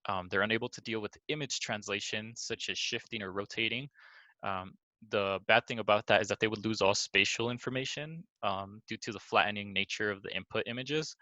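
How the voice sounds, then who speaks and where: A man's voice: on the low side, fairly even intonation, brisk, bright, forceful tone, dry recording, poor audio. A young man giving an informal student presentation of a project. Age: young adult.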